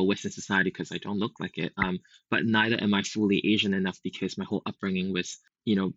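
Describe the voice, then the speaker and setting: A man's voice: low voice, a bit bright, no room sound. A young man from Singapore with a Singaporean accent, speaking reflectively as a podcast guest, with frequent fillers and restarts. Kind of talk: casual conversation. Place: home. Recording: dull lo-fi home recording.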